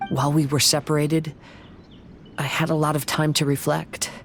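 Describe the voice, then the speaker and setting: An actor playing a serene young wandering samurai poet in a game, speaking gently in a measured, poetic way. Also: male voice, a little high, flat delivery, a little hiss or hum, natural voice. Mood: reflective.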